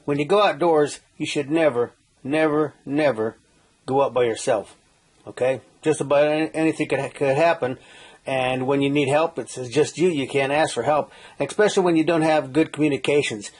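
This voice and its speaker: Man, husky voice, slightly high pitch, folksy, halting, informal survival lesson. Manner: folksy, repeats "okay?", many restarts and "uh". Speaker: survival instructor. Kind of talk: informal how-to talk.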